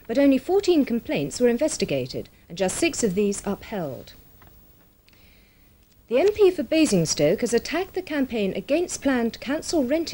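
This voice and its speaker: A woman reads a scripted regional television news bulletin in a formal, measured manner. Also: fairly high pitch, dark tone. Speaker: television newsreader.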